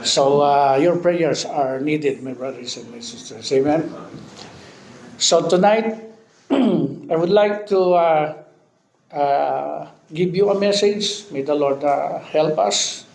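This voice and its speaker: Man, 50s, Filipino accent, preacher; high-ish voice, halting with frequent amens; echoey church room. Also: vocal fry, a little mellow. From Philippines. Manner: studs his talk with amen and hallelujah, halting with fillers. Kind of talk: sermon. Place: church hall. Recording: echoey church hall with faint background noise.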